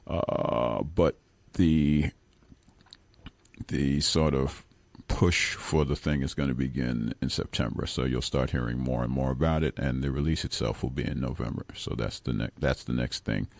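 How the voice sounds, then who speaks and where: A man's voice: a bass voice, moderate pace, gravelly, no echo. A man from Brooklyn speaking as a guest on a radio interview, talking in a rambling way and often restarting his sentences. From Brooklyn, New York. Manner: rambling, self-correcting, chuckles now and then. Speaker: guest musician. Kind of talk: relaxed radio interview talk. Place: radio studio.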